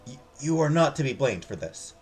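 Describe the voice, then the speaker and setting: A man voicing a gentle, uneasy priest in a visual novel, formal and reassuring. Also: mid-range voice, big pitch swings, moderate pace, soft background music, natural voice.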